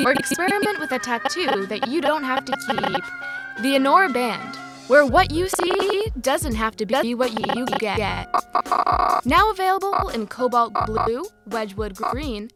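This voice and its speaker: Casting: actress for salesy female commercial announcer in an audio drama, very lively intonation, music loud underneath. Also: high voice. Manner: salesy, promotional. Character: peppy female commercial announcer for a tech gadget. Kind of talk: scripted advert voice-over.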